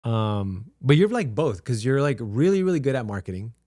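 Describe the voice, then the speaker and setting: A man's voice: mid-range voice, big pitch swings, mellow, no echo. Man hosting, dry close sound. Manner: encouraging, quick interjections of agreement. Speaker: podcast host, loan officer. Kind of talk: casual interview.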